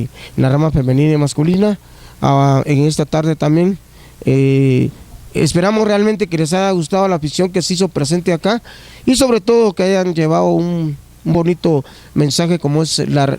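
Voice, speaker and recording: Gravelly Guatemalan official, hesitant. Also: male voice, a little high, mellow, no echo. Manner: hesitant, many fillers and restarts. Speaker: municipal youth unit coordinator. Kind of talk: on-site interview. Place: outdoor municipal stadium.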